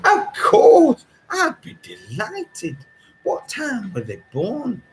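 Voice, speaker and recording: A nosy neighbour in her sixties from an audiobook , played by a man, pitched up very high. She gushes and chatters, stretching out her words with big swoops. There is faint noise behind her. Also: huge pitch swings, full character voice. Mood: gushing, chatty. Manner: gushing, drawn-out exclamations. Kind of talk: audiobook character voice.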